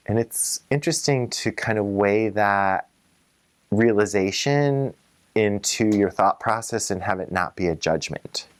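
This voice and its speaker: A woman reflecting in a personal interview in a measured, thoughtful way, choosing words carefully. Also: a bass voice. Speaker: local resident interviewee.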